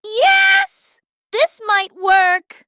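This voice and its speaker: A woman's voice: very high voice, big pitch swings, extremely slow, creaky, sharp tone, no echo, studio quality, pitched above the performer's own voice, exaggerated voice. A woman voicing a thirsty, determined male crow in a children's storybook, earnest and exclamatory. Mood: excited hope.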